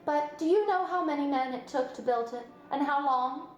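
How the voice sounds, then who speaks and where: A woman's voice: sits high, normal speed, muffled recording, pitched above the performer's own voice, natural voice. A woman voicing a clever, patient female spider with a precise, instructive manner in a storybook read-aloud. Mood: proud, reassuring. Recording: roomy sound, music behind.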